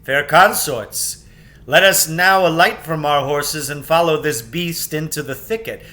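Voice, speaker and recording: Guy doing a noble king from a storybook, formal and grand, bright forceful voice, slow. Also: fairly high pitch. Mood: stately, eager. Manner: formal, archaic, declamatory. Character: grand noble adult king, courtly and gallant.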